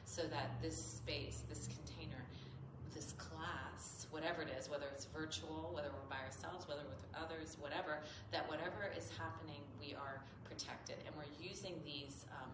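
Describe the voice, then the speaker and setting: A woman with a low, slightly nasal voice teaches a yoga class, earnest and searching for words. She is recorded in an echoey studio with steady noise behind her. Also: moderate pace. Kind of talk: spoken yoga instruction.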